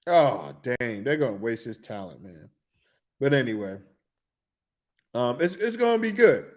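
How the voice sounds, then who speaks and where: A man, talking loosely and excitedly about basketball as a podcast co-host in casual chat. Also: mid-range voice, unhurried. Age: 40s.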